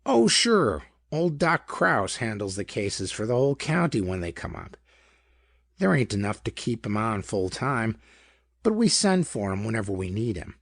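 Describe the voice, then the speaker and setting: Man doing a gravelly, folksy small-town lawman. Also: fairly low pitch, very animated, moderate pace, no echo, studio quality. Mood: matter-of-fact. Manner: colloquial, slangy, hesitant explaining.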